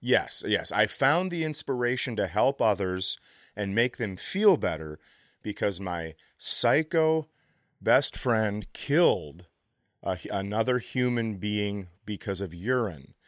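American dude podcast host, lowish voice, dry close sound, sweary exasperated storytelling. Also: male voice, lively intonation, slow pace, fairly bright tone, no echo. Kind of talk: casual comedic chat and storytelling.

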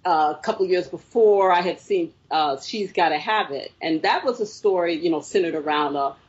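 A woman's voice: on the low side, moderate pace, slightly rough, highs cut off. A woman filmmaker answering interview questions, telling her story reflectively with frequent fillers. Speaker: filmmaker guest.

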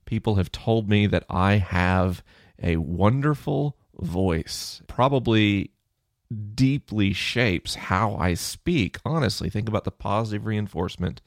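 A middle-aged man with a low, chesty voice, hosting a podcast and casually explaining research in answer to a listener question. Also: takes it slowly, dry recording, studio quality. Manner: conversational, thinking aloud with fillers.

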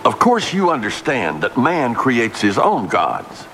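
Deep-voiced authoritative man, lively delivery. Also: measured pace, over music. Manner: authoritative, declaiming. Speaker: group founder and leader. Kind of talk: archival recorded talk.

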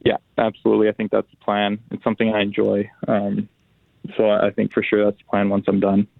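A young adult man, a football player, answering interview questions by phone in a relaxed, halting way with many restarts and fillers. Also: fairly low pitch, gravelly, no echo. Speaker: pro football player, guest. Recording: tinny phone line.

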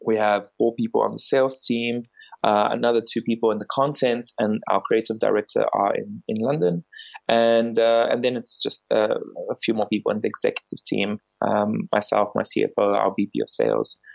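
Low gravelly-voiced man with muffled audio. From Bulgaria, raised in South Africa. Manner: measured, explanatory, with frequent fillers and restarts. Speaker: company founder and guest. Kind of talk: podcast interview.

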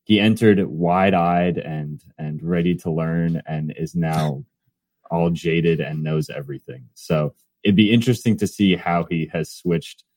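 Young male podcast host, low husky voice, easygoing and teasing. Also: a little slow, darkish tone, no echo. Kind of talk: casual podcast intro and questions.

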